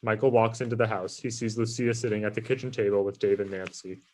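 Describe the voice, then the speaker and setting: Male narrator for screenplay table read: lowish pitch, very flat delivery, matter-of-fact. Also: fast. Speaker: stage-direction reader.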